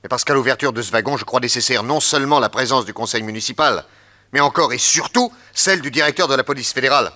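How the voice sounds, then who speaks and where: An actor playing a calm, shrewd male detective in a radio drama, terse and assured. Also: medium pitch, quick pace, lightly acted.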